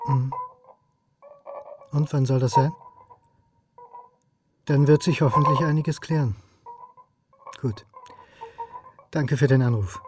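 A man's voice: medium pitch, close to an everyday voice. A man acting a brooding, stubborn history lecturer in a naturalistic radio drama, terse and suspicious, with clipped sentences. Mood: wary. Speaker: actor in radio crime drama.

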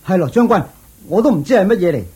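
A husky, excitable young palace guard bursts out in a lively, up-and-down voice. The radio-play delivery stays breathless and keyed up. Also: female voice, mid-range voice, fast talker. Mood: excited. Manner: excited, exclaiming. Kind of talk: radio drama acting.

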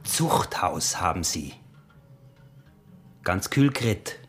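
An actor playing a frail, cunning, possessive peasant husband in a radio drama, with an Austrian accent and a deliberate, menacingly controlled delivery. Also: male voice, sits low, sing-song, a bit bright, soft background music, full character voice. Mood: menacing, shaken. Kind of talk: German radio drama acting.

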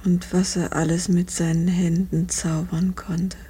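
Slow, breathy grieving wife. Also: female voice, fairly low pitch, flat delivery. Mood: numb grief. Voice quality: breathy, hushed. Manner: slow, halting, repeating phrases. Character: grieving, devoted middle-aged wife and mother. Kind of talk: 1950s German radio drama acting. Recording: 1950s radio drama recording.

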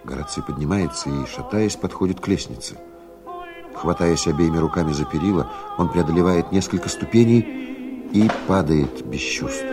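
A man's voice: low voice, animated, normal speed. A man narrating a 1970s radio drama in a scripted, stage-direction style. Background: music.

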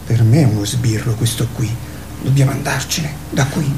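A woman's voice: rumbling low, moderate pace, vocal fry. An actress playing a nervous young female wild goose, lost and given to honking cries, in a radio drama. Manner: jittery, breaks into honking cries. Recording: roomy sound with faint noise.

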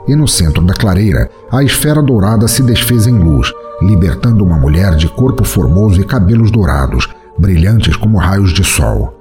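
A man narrating a scripted fantasy story as podcast host, with a dramatic, expressive delivery. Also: low voice, normal speed, hardly any pauses, faint music behind.

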